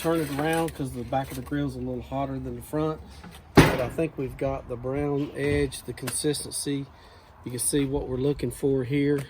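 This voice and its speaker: A man's voice: mid-range voice, normal speed. Guy showing how to make pizza, easygoing and chatty, noisy recording. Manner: folksy, instructive, relaxed. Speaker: cooking show host. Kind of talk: home cooking demonstration.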